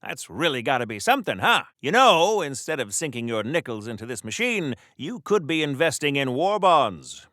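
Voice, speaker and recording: A man's voice: mid-range voice, very animated, normal speed, few pauses, bright, forceful tone, dry recording, clean studio sound. A man playing a chatty, pompous wartime comic-book hero in a video game, with flowery diction in a theatrical 1940s radio serial style. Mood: bright, insistent.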